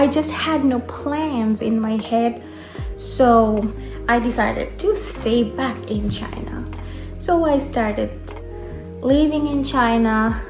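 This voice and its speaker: Slow-talking young woman from Bangladesh. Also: a little high, darkish tone, roomy sound, poor audio. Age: young adult. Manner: unhurried, conversational, with frequent fillers. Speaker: vlogger. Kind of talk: personal story vlog.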